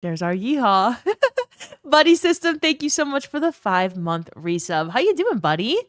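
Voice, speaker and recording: A woman streamer, chatting casually and rambling, with a slight vocal fry at the ends of her phrases. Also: fairly high pitch, big pitch swings, normal speed, no echo, clean studio sound. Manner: chatty, rambling, laughs often, thanks viewers by name. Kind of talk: casual live-stream chat.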